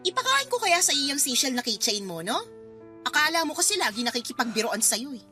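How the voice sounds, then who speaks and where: A woman's voice: high-pitched, sing-song, a little fast, pushed and bright, faint music behind, exaggerated voice. A woman playing a bitter, combative wife and mother in a radio drama, sarcastic and confrontational. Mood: sarcastic, accusing.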